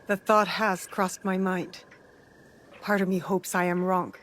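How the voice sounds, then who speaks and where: A woman's voice: fairly low pitch, flat delivery, unhurried, a bit bright, a little hiss or hum. An actress playing a determined, guarded young shinobi woman in a video game cutscene, speaking in a blunt, terse, resolute manner.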